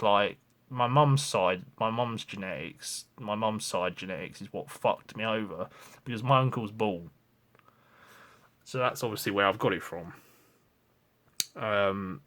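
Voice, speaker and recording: A young man in his twenties speaks straight to camera in a rambling confessional vlog. His voice sits a little low, and he keeps restarting his sentences and swearing as he talks it through. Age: 20s. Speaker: vlogger.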